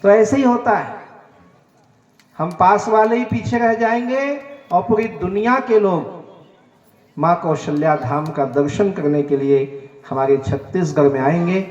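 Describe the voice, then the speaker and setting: A man's voice: high voice, measured pace, long pauses, darkish tone. A man from Chhattisgarh with a Chhattisgarhi accent preaching to a village gathering, making earnest, direct appeals to the crowd. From Chhattisgarh, India. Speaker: religious preacher. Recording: echoey, distant, dull sound.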